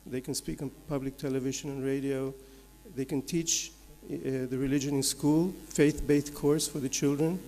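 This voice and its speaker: A man from Belgium, giving a measured, explanatory slide presentation as a conference speaker, point by point. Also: medium pitch, level tone, warm tone, soft background music. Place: large conference hall. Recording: echoey hall with long reverb.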